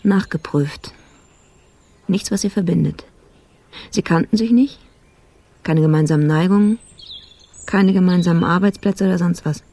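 A husky, terse female investigator. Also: lowish voice, dark tone. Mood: sober, frustrated. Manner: clipped, terse fragments with heavy pauses. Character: sober, troubled police colleague investigating a case. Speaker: radio drama actor. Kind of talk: radio drama monologue.

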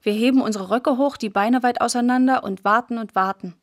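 Woman reading deadpan story for radio, highish voice, dry recording, bit of music. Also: a little fast, dry recording. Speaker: narrator.

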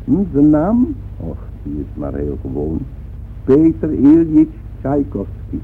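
An actor playing an earnest music school director, formal and insistent, in a radio drama. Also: male voice, medium pitch, wildly swooping pitch, takes it slowly, full character voice. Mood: teasing. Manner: emphatic, formal, repetitive. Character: earnest, insistent male conservatory director pleading his case. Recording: tinny, noisy old radio recording.

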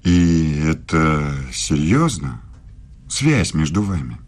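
An actor in a radio play voicing a self-assured, evasive German philosophy professor who speaks in a measured, pontificating way. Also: male voice, rumbling low, very animated, exaggerated voice. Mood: cool, philosophical.

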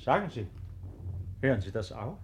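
An actor playing a courteous, uneasy country baron in an old 1950s German radio play. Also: male voice, medium pitch, sing-song, normal speed, vocal fry, background noise. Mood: uneasy, polite.

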